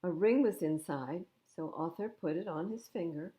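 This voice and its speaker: A woman's voice: on the low side, normal speed, dark tone. A woman reading a storybook aloud to children as a storytime host, with chatty asides. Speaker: library storytime reader.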